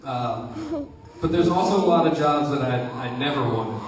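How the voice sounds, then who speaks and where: A man's voice: medium pitch, expressive, moderate pace, background noise. A young man performing a self-deprecating stand-up set with hesitant "I, uh" restarts, recorded by a camera far from the stage. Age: young adult. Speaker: stand-up comedian. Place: comedy show stage with live audience. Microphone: camera recording from a distance. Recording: echoey room from far off, audience laughter.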